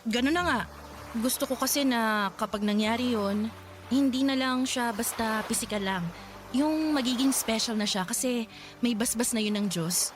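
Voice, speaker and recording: A woman's voice: fairly high pitch, normal speed, music in the background, close to an everyday voice. A woman with a Filipino accent playing a principled, reserved young woman being courted in a Tagalog radio drama, explaining herself earnestly and hesitantly.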